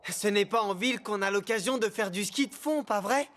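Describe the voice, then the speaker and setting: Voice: mid-range voice, brisk, noisy background, lightly acted. An actor voicing a friendly, eager young boy in a French-dubbed children's cartoon, chatty and enthusiastic. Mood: cheerful. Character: friendly, enthusiastic young boy who loves cross-country skiing.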